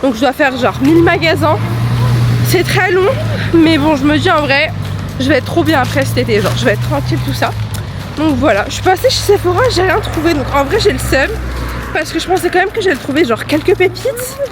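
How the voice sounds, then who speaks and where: A woman's voice: high voice, lively intonation, a little fast. A young woman with a head cold chatting casually to her phone camera for a vlog, upbeat and full of slang. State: congested with a cold. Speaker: vlogger. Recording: music playing under the voice.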